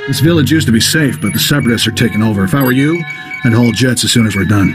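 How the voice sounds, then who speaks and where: An actor playing a slippery, brisk smuggler gunrunner in a video game, talking smoothly and casually with cocky ease. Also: male voice, medium pitch, over loud music, lightly acted. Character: Skavak, brisk slippery male smuggler gunrunner, secretly treacherous. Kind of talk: video game cutscene acting.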